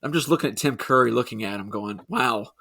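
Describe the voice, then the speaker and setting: A man's voice: mid-range voice, creaky, fairly bright tone. A man co-hosting a podcast, chatting casually about a movie with wry quips. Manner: wry, quick quips, laughs along. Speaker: podcast co-host.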